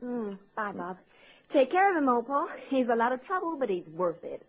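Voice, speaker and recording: An actress playing a teasing, loyal, sensible woman in a radio comedy-drama, with a husky, lively voice. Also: a little high, normal speed, exaggerated voice. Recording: muffled old radio recording.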